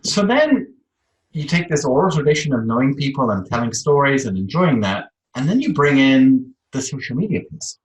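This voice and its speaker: A man's voice: medium pitch, sing-song, moderate pace. A man with a British accent telling personal stories conversationally as an interview guest. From Isle of Man, UK. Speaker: interview guest, social media expert. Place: online video call in a room. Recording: roomy, echoey video-call sound.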